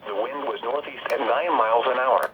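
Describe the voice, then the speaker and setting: A synthetic male announcer reads an automated weather bulletin at a medium pitch. The voice comes out of a small radio speaker and is picked up again in a room, with clear sound behind it. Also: normal speed, phone-quality sound, over a noisy crowd. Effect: played through a small radio speaker.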